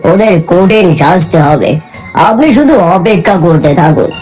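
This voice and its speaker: A woman's voice: on the low side, sing-song, normal speed, darkish tone, faint music behind. A woman voicing a stern but caring middle-aged king who speaks firmly and earnestly. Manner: firm and earnest, vowing justice.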